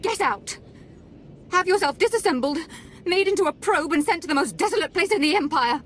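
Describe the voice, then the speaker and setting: An actress playing a wounded, imperious young Sith lord in a video game, speaking with haughty, aggrieved drama. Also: female voice, very high voice, animated, fairly quick, slight background noise. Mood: haughty fury.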